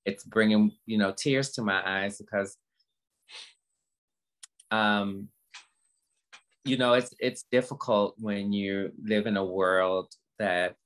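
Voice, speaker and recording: A woman in her fifties talking candidly as a guest, earnest and halting, often repeating phrases for emphasis. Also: rumbling low. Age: 50s. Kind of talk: candid conversation, motivational talk.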